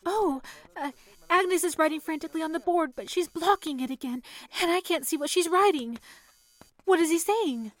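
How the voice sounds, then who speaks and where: An actress playing an earnest, dutiful and caring young telephone operator in a 1930s-style radio play. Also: female voice, very high-pitched, moderate pace, dry recording. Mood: alarmed.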